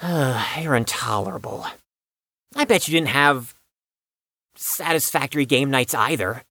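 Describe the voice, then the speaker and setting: A man's voice: medium pitch, lively intonation, measured pace, pushed and bright, no room sound, studio quality, exaggerated voice. A man playing a bitter, wounded young man with formal, precise wording and a defensive manner in an audio drama. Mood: irritated.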